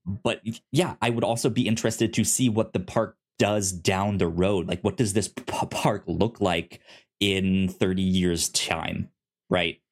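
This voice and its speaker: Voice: low-pitched, fairly even intonation. A podcast co-host chatting casually about a show, often repeating and stuttering on the first sounds of words. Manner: halting, repeats and stutters on word starts. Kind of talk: casual podcast review chat.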